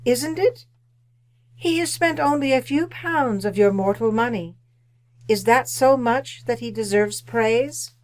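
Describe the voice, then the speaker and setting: A woman playing a solemn, probing spirit guide in old-time radio drama style, questioning and commanding with deliberate delivery. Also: fairly high pitch, a little mellow, close to an everyday voice.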